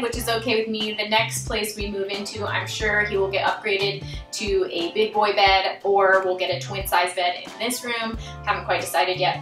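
A young woman chats her way through a home tour, upbeat and easygoing, with plenty of little fillers. Her voice sits at an ordinary middle pitch. The small rooms make it ring a bit, and soft music plays underneath. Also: echoey room. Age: young adult. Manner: chatty and upbeat, with frequent fillers. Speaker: home vlogger. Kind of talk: casual house tour vlog. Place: small rooms of a house, indoors.

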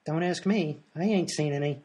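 An actor plays a detective's male assistant in a comedy radio play. He is blunt, casual and slangy, with a dark, slightly rough-edged tone. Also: medium pitch, moderate pace, close to an everyday voice. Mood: nagging, casual.

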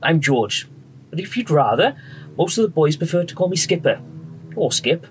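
An actor playing a friendly, assured wartime bomber pilot with a British accent, brisk and reassuring, in a radio drama. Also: male voice, on the high side, big pitch swings. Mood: genial, welcoming. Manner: brisk, reassuring, polite officer. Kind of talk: period radio drama acting. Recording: noisy aircraft engine background.

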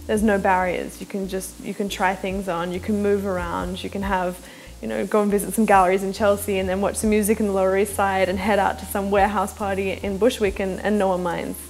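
Flat-toned enthusiastic young woman. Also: medium pitch. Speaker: interviewee. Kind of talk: documentary interview.

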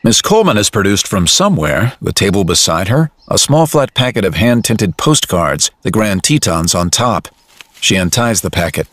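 Low-voiced male narrator, very animated. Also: normal speed, dry recording, studio quality. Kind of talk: scripted dramatic audiobook narration. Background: music.

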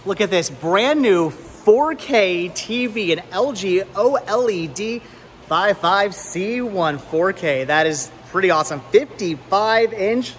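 A man in his thirties hosting a casual vlog, chatting enthusiastically and rambling with halting, repeated words. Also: high-pitched, expressive, a bit bright, a little hiss or hum. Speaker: vlog host. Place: large indoor flea market and warehouse loading area. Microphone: handheld camera at arm's length.

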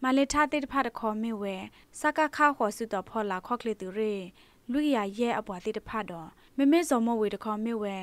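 A woman's voice: medium pitch, fairly quick, no room sound. A woman presenting the news, reading from a script as a radio newsreader.

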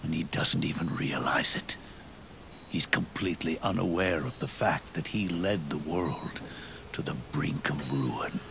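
An actor playing an aging, weary, scarred old soldier, grave and reflective, with a deep, gravelly, breathy growl and a slow, halting, solemn delivery in a video game cutscene. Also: male voice, noisy background, full character voice. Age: older. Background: orchestral music.

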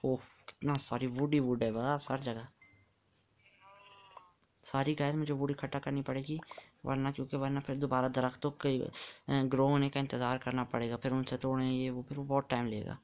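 Man, casual game commentary, flat steady delivery, counts aloud while building, faint hiss behind. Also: medium pitch, normal speed. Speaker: gamer.

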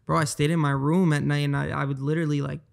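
Gravelly-voiced young man, earnest. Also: fairly high pitch, little pitch movement, fast. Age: 20s. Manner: earnest, repeats words while thinking. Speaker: guest, trader. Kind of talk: casual podcast interview. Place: bright high-rise living room. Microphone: handheld mic.

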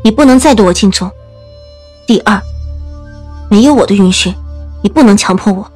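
A woman's voice: mid-range voice, normal speed, a little mellow, husky, faint music behind, lightly acted. An actress playing a guarded, proud young lady of ancient China in a costume audio drama. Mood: cool, defiant.

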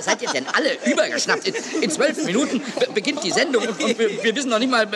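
An actor playing a flustered, high-strung TV director who stammers and repeats words in a children's radio play. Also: male voice, big pitch swings, fast, a crowd in the background. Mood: frantic. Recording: noisy old cassette radio play.

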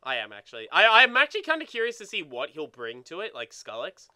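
A man co-hosting a let's play with casual, animated, sweary gaming commentary. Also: a little high, quick pace, clear, resonant tone.

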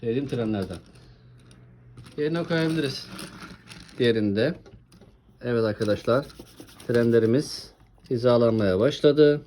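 A woman with a very deep, mellow voice presents toys in a casual chat. She is encouraging and often puts questions to her young co-host. There is faint noise behind her. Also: moderate pace, long pauses. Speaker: host presenting toy trains with a child. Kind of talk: casual toy showcase chat.

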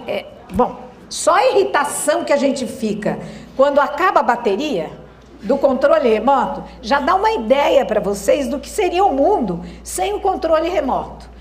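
A woman's voice: high voice, darkish tone, roomy sound. A woman with a Brazilian Portuguese accent giving a university lecture, thoughtful and searching for words, often asking the audience rhetorical questions. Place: lecture hall.

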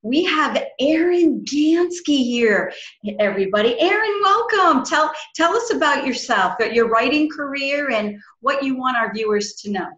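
A woman's voice: high voice, expressive, measured pace, fairly bright tone, muffled recording. A middle-aged woman hosting a casual video interview in a chatty, informal way. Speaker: interview host. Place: small room at home, indoors. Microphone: computer mic on a video call. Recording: roomy, echoey home room.

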